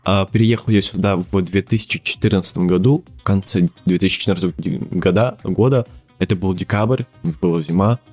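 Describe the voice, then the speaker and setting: A man's voice: low voice, a little fast, darkish tone, soft background music. A teenage man from Siberia, a podcast guest talking casually, rambling with lots of fillers and restarts and laughing often. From Siberia, Russia. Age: teens.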